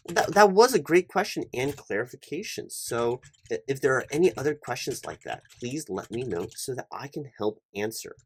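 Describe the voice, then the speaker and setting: A man performing a one-sided job interview roleplay for ASMR as a hiring manager, speaking in a polite, officious, somewhat halting corporate patter. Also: medium pitch, expressive, slightly rough.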